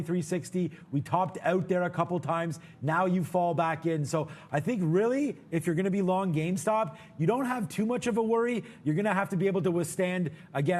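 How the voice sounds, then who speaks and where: A man's voice: high-pitched, a little mellow. A man co-hosting a live trading show, giving casual market commentary in an informal, chatty way straight to viewers.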